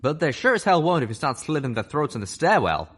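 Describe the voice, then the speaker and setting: An earnest young heroine mare, voiced by a man reading aloud, pitched far above his own low voice. She pleads in a strained, raised tone. She sounds set a little back from the mic. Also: big pitch swings, fast, slightly rough, heavily acted. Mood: urgent.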